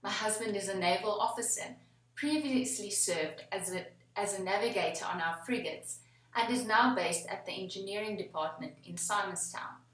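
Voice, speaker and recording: A young woman with a South African accent and Afrikaans as her first language, an attorney giving formal, measured answers in an interview. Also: mid-range voice, a bit bright, recorded from a distance. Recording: echoey room, away from the mic.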